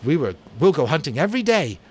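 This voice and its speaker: A man voicing an excited, boastful boy who leads a band of hunters, talking loud and eager. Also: on the high side, fast talker, slight background noise, pitched above the performer's own voice.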